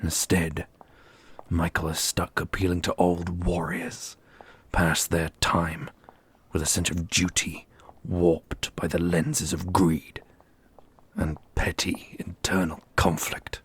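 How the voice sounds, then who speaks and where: A man playing a brooding, scornful supernatural warrior in an ASMR roleplay, speaking formally in a husky, breathy voice. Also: sits low, flat delivery, slow pace, exaggerated voice. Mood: brooding disdain.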